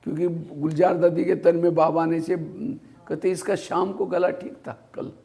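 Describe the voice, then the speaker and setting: A man's voice: a little high, flat delivery, normal speed, vocal fry, warm tone. A man giving a spiritual class, telling anecdotes and asking rhetorical questions that he answers himself. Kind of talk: Hindi spiritual discourse.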